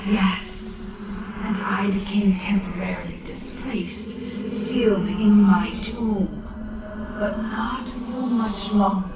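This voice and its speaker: A woman's voice: mid-range voice, slow, creaky, loud music behind the voice. A woman playing a vengeful alien ghost-like entity in an audio drama, menacing and grandiose, slipping into whispers. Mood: menacing, bitter. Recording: cavernous echo, distant voice.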